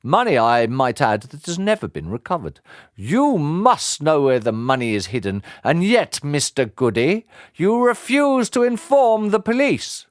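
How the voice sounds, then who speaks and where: A man voicing a stern, unsmiling old judge who lectures slowly and pompously. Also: on the high side, big pitch swings, no room sound, clean studio sound, voice pushed up. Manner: slow, pompous, reproachful.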